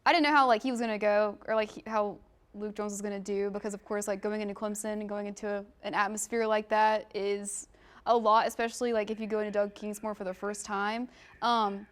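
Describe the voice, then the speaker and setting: A woman's voice: mid-range voice, level tone, a little fast. A woman co-hosting a podcast, talking sports casually and informally with lots of "like". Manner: informal, often says "like". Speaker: sports podcast co-host, commentator.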